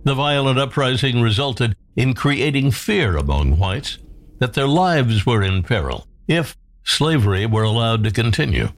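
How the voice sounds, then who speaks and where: Male narrator for scripted historical documentary, medium pitch, highly varied intonation.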